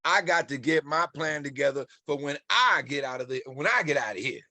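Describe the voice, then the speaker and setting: Male actor as young wartime soldier, guarded, colloquial, bright voice, dry close sound. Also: on the high side, animated, fairly quick, no echo, heavily acted. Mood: defensive, wry. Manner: plain-spoken, colloquial. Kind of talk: live screenplay table read.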